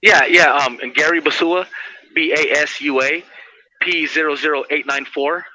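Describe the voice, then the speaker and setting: Bright, effusive man on a lo-fi call. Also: fairly high pitch, steady tone, moderate pace, dry recording. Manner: effusive, slangy, repeats himself, says bro and man often. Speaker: call-in guest phoning from prison. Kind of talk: casual phone chat. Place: prison, on a phone or video visit call. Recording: dull lo-fi phone call with hiss.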